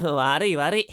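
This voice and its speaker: Excitable teenage boy, very lively pitch. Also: no room sound, clean studio sound, heavily acted. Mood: teasing. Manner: excitable, teasing, boyish. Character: hyperactive, cheeky, dance-loving teenage high-school boy. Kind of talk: Japanese anime-style voice drama acting.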